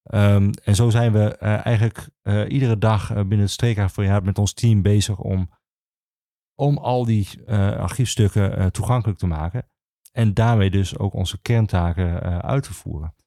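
A man speaking as a guest in an informal podcast interview, explaining his work as an archivist in halting phrases with frequent pauses. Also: fairly low pitch, steady tone, creaky, warm tone, dry recording, clean studio sound.

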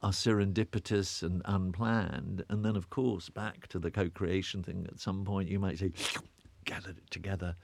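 A British man with a very deep voice talks through his ideas in a loose, reflective way, circling back and stumbling over repeated words. The recording is dry and close, with no room sound. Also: fairly even intonation, normal speed, vocal fry, darkish tone, no room sound. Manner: rambling, self-interrupting, repeats words when searching. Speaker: interview guest, urbanist. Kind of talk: reflective conversational interview.

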